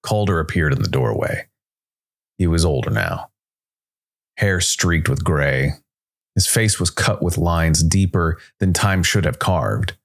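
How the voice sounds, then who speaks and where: A man's voice: very deep voice, expressive, a little slow, vocal fry. A man reading a dramatic science fiction story as a scripted narration.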